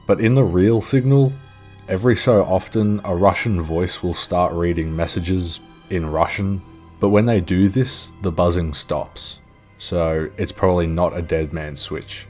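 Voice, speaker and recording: A man's voice: low voice, unhurried, darkish tone. A man narrating an explainer in a casual, informal and offhand way. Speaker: video host and narrator. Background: music.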